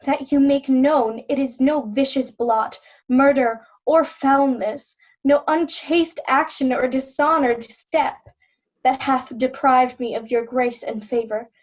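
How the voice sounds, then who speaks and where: A woman's voice: high voice, steady tone, slow, muffled recording. A woman playing an honest, dignified young princess, pleading earnestly in formal Shakespearean verse. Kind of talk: Shakespeare reader's theatre acting.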